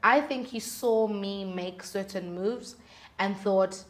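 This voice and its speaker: A woman's voice: medium pitch, slow pace. A woman, speaking as a musician guest in candid, conversational interview storytelling. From South Africa.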